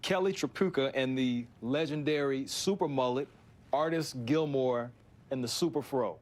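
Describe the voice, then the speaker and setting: A man's voice: medium pitch, moderate pace. Male interviewer, mid-range voice, conversational sports talk with casual phrasing.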